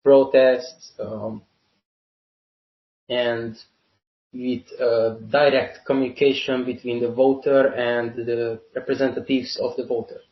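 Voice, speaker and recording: Slow, halting young Hungarian man, roomy sound. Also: mid-range voice, flat delivery. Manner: deliberate, halting, repeats phrases for emphasis. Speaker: interview guest, university student. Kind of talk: explanatory interview answers. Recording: roomy, muffled lo-fi call-like audio.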